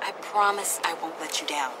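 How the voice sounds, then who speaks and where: A woman's voice: low voice, brisk, background noise, close to an everyday voice. An actress playing an earnest, contrite young woman seeking redemption in a video game drama. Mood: uncertain, hopeful.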